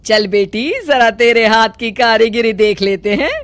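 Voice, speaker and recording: A woman performs a bossy middle-aged mother-in-law from a family cartoon. The voice is high and emphatic, with a scolding, sharp-tongued edge that expects to be obeyed. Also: unhurried, dry recording, natural voice. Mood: brisk, approving. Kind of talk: Hindi cartoon family drama acting.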